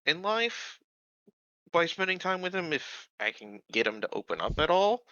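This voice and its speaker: A man playing a tabletop roleplaying game, talking casually and haltingly as he thinks aloud. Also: high-pitched, very animated, sharp tone, no room sound, dull audio.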